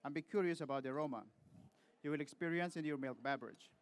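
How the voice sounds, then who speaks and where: A man from Peru giving a rehearsed barista competition presentation to judges, speaking in a measured way with short pauses. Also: fairly high pitch, clean tone.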